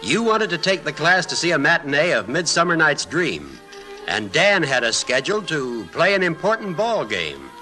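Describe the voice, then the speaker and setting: A fond, reminiscing politician in a 1940s radio play. His voice sits a little high and moves expressively. Music plays behind the old broadcast recording. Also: sing-song, moderate pace, sharp tone. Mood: nostalgic. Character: reminiscing male politician, fond former pupil. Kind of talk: 1940s radio drama acting.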